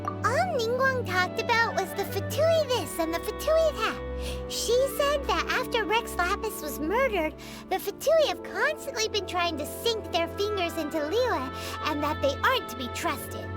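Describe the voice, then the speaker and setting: A woman's voice: extremely high pitch, expressive, moderate pace. A woman voicing a tiny, childlike fairy companion in a video game, curious, greedy and full of exclamations. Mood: chatty. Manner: speaks of self in third person, gasping and exclaiming. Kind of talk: video game character acting. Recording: loud background music. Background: loud game music.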